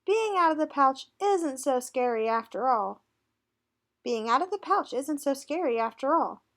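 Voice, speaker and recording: A woman voicing a timid but caring young kangaroo pupil in a picture book, gentle and reassuring. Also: high voice, animated, normal speed. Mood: relieved. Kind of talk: picture book read aloud.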